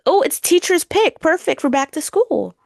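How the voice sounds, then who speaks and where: Creaky-voiced young woman, casual and slangy. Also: very high voice, big pitch swings, normal speed, no room sound, studio quality. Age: young adult. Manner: colloquial, slangy, frequent "like". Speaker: podcast co-host. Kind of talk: casual chat. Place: bedroom.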